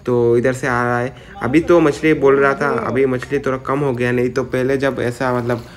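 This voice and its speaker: Flat-voiced young man vlogging by a river. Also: medium pitch, normal speed. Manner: rambling, lots of filler words. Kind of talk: casual vlog narration. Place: outdoors by a jungle river.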